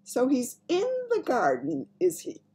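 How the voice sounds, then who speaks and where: A woman's voice: fairly high pitch, wildly swooping pitch, moderate pace, a little mellow, slightly rough, pitched above the performer's own voice, exaggerated voice. A woman voicing a dignified, disbelieving yet gracious queen in a storybook, polite and commanding. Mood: composed, gracious.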